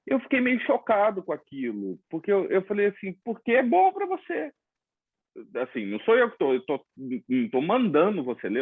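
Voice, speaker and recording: A man from Brazil hosting a casual interview, telling stories in an animated way and acting out quoted dialogue. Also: sits high, slightly rough, dry recording. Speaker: host. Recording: dry tinny phone-like line.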